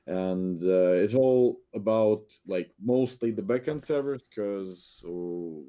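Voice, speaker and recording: Man, low voice, mellow tone, hesitant with many fillers, video call headset. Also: takes it slowly, lo-fi sound. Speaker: game director. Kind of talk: podcast guest update, halting.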